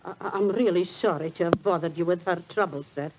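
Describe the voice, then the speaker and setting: A woman's voice: lowish voice, fast talker, heavy background noise, natural voice. An actress with a British accent playing a humble, apologetic landlady in 1940s radio style. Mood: deferential, flustered. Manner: deferential, apologetic. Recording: muffled 1940s radio recording.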